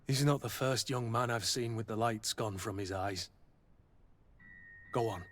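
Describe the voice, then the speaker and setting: An actor playing a hardened, protective army captain in a video game, talking in a terse, blunt way. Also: male voice, lowish voice, a little slow, close to an everyday voice. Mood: grieving, subdued. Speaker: soldier, captain. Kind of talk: dramatic video game acting.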